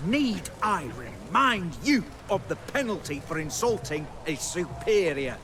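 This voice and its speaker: An actor playing a pompous, rule-bound low-ranking soldier with a British accent in a video game. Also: male voice, big pitch swings, unhurried, background noise. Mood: officious. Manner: stiff, pompous, barking.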